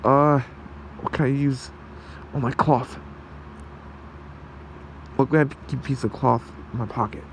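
A man playing a gentle, protective fox shapeshifter in human form in an ASMR roleplay, hesitant and soothing in his manner. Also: mid-range voice, fairly even intonation, unhurried, pauses often, slight background noise, lightly acted. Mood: urgent, soothing.

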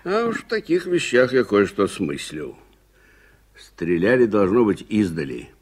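An actor playing a proud, gruff old frontier businessman in a radio play, speaking brusquely and emphatically. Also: fairly low pitch, very animated, a little slow, exaggerated voice. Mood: confident, expert. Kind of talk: Soviet radio play acting.

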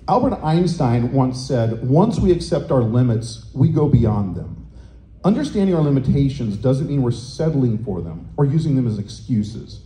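Booming middle-aged man presenting at a conference. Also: big pitch swings, moderate pace. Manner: loud, booming voice with many fillers and restarts. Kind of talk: conference talk with slides. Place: hotel conference room, large indoor. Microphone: handheld microphone through room speakers. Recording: echoey conference room, faint noise.

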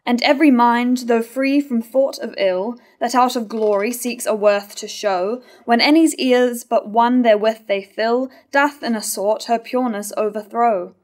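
Tragic chorus, morally stern, played by a young woman, higher-pitched, reading verse on stage. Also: fairly even intonation, measured pace, few pauses, darkish tone, natural voice. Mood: moralising, measured. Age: young adult. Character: moralising chorus judging a wife's virtue. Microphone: lectern microphone.

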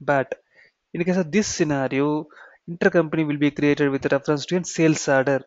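A man with an Indian accent giving an explanatory software tutorial, repeating key phrases and checking in with "okay" and "right". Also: fairly high pitch, steady tone, brisk, no room sound. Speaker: software trainer. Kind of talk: explanatory screen-share tutorial. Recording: dull, muffled lo-fi sound.

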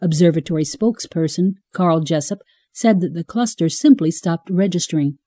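An actress playing a female newscaster from the future, reading the bulletin in a formal, even manner. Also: on the low side, normal speed, dry recording, lightly acted. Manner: formal, even newsreader delivery. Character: female future news anchor, crisp and formal. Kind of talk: broadcast news reading in a radio drama.

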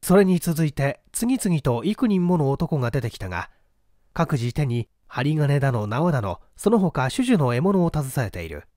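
A man narrating a novel in a measured, theatrical storytelling manner. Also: on the high side, big pitch swings, mellow, no echo, studio quality. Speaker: audiobook narrator. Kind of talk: dramatic literary novel reading.

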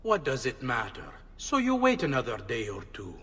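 A man's voice: mid-range voice, wildly swooping pitch, moderate pace, pushed and bright, breathy edge, exaggerated voice. An actor playing a genial, dismissive middle-aged statesman in a video game. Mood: genial, reassuring. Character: genial, dismissive older Italian statesman, family friend. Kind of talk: video game character acting.

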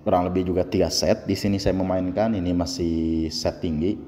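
Pria bersuara berat di ruang gym bergema. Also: male voice, sits low, little pitch movement, a little fast, warm tone. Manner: chatty, explains training step by step. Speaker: gym vlogger. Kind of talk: casual workout commentary. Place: indoor gym with fluorescent lights. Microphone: camera on the floor across the room. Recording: reverberant gym hall with faint hum.

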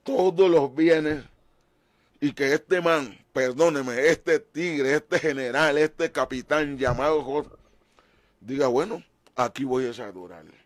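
A man's voice: on the high side, slow, no room sound. Emphatic preaching man, dry studio. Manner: emphatic, gestures broadly, dramatic rises in voice. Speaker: pastor and show host. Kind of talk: animated preaching talk show. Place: small studio with painted backdrop. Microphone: desk mic on stand.

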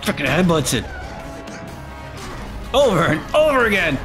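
High-pitched man reacting to a game. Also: big pitch swings, unhurried. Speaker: reaction channel host. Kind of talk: casual reaction commentary. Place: living room couch, indoors. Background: game cutscene audio with music.

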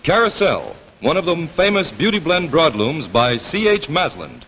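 A man's voice: on the high side, sing-song, moderate pace. A young man as a commercial spokesman, reading a scripted 1950s television advertisement. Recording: muffled, noisy, reverberant old television soundtrack.

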